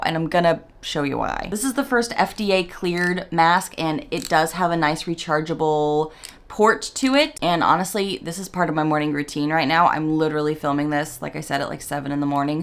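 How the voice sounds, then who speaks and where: A woman around forty with a raspy morning voice, chatting in a rambling, casual way as she reviews a beauty product at home. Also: sits low, fairly bright tone. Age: 40s. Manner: rambling, chatty, self-interrupting. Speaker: beauty vlogger.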